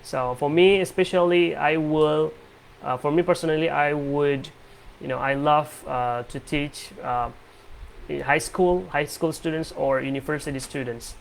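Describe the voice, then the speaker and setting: A man's voice: a little high, measured pace, creaky, a little hiss or hum, poor audio. A young man from Indonesia with an Indonesian accent, hosting a casual English practice video call and speaking hesitantly with many fillers. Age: young adult.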